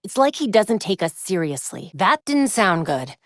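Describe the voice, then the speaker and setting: Game casting: young female vigilante hero, played by an actress, determined and wry, focused conversational delivery, mid-range pitch, very dry recording. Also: sing-song, moderate pace, no room sound, lightly acted. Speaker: video game voice actor. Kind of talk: video game character dialogue lines.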